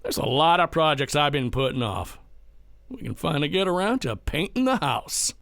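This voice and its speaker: An actor playing a defensive, stubborn ranch heir in an audio drama, with a halting, folksy manner. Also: male voice, on the high side, very animated, vocal fry, fairly bright tone, dry recording, exaggerated voice. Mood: awkward, placating. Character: defensive, stubborn ranch heir taking over from his father. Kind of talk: naturalistic full-cast audio drama acting.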